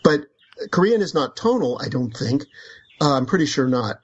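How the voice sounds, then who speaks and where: A man's voice: fairly high pitch, big pitch swings, normal speed, husky. A man, an author, chatting casually about his book, wandering off on tangents and laughing as he talks. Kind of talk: casual book club chat. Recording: dull lo-fi recording.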